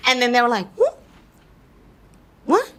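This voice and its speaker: Lively, laughing woman telling stories. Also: high-pitched, moderate pace. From Thailand. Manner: animated, laughing often, acts out quoted voices. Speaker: guest, actor. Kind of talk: casual chat with storytelling.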